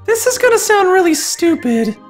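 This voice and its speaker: A man voicing a timid, flustered young woman in a breathy falsetto, stammering through a dramatic story reading. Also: big pitch swings, moderate pace, faint music behind. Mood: nervous. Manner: nervous, stammering, apologetic. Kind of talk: dramatic fan fiction reading.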